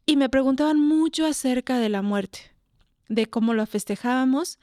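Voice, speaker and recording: A woman's voice: sits high, normal speed, a little mellow, no echo, clean studio sound. A woman from Mexico with a Mexican accent, hosting a community radio show and asking her guests curious, friendly questions. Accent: Mexican Spanish. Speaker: radio host.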